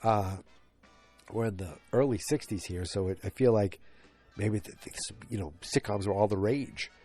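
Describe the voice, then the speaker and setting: Congested man, solo podcast host, low mellow voice, rambling with restarts, faint music behind. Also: moderate pace. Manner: rambling, self-interrupting, many fillers and restarts. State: stuffed up, congested. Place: home, late at night.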